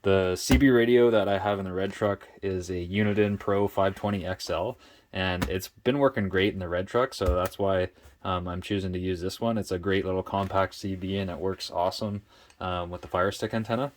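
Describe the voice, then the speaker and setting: A man's voice: low voice, fairly even intonation, moderate pace, a little hiss or hum. A man hosting a casual how-to vlog, talking informally and thinking aloud as he explains. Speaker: vlog host showing a DIY truck install.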